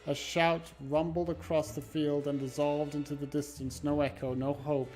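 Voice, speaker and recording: A man live-streaming a game, reading horror narration aloud dramatically and haltingly, stumbling and correcting himself. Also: a little high, flat delivery, a little mellow. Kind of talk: live read-aloud of a horror visual novel with asides. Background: background music.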